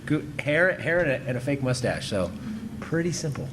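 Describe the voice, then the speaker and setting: A man's voice: medium pitch, very animated, moderate pace, background noise. A man from the United States telling a rambling story as a panel guest, laughing at himself.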